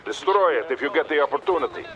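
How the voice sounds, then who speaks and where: A man's voice: fairly high pitch, expressive, dull audio, close to an everyday voice. Man playing a stern Soviet colonel amid gunfire. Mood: authoritative. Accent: Russian. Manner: authoritative, measured, commanding. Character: stern, composed Soviet army colonel commanding by radio. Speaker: military commander character. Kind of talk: video game voice acting, military briefing. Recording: battle gunfire noise behind voice. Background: gunfire, artillery and vehicles.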